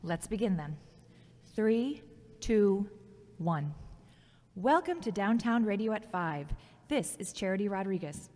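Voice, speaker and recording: A woman's voice: medium pitch, lively intonation, a little slow, long pauses, warm tone, natural voice. A woman playing a polite, formal radio interviewer in an amateur audio drama. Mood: polite, businesslike. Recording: reverberant hall-like sound.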